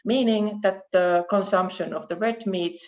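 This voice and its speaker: A woman with a Finnish accent giving an academic presentation as a research professor over an online call, speaking haltingly with repeated words. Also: medium pitch, steady tone, darkish tone. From Finland. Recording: dull, muffled online call audio.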